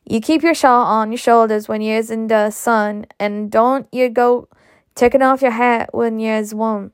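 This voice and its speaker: A woman's voice: on the high side, steady tone, a little slow, clean tone, no echo, clean studio sound, natural voice. A woman voicing a bossy, doting older nursemaid who scolds in drawling folksy speech.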